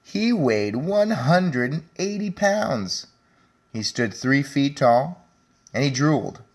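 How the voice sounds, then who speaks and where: A man reading a children's story aloud as a teacher, speaking deliberately and addressing young listeners directly. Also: mid-range voice, sing-song. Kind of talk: children's read-aloud with commentary.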